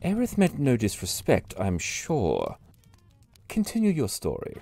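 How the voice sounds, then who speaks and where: Audio drama interrogator: polite and measured, mellow soft-edged tone, wide swings of intonation. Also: male voice, mid-range voice, huge pitch swings, gravelly, faint music behind, heavily acted. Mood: composed, probing.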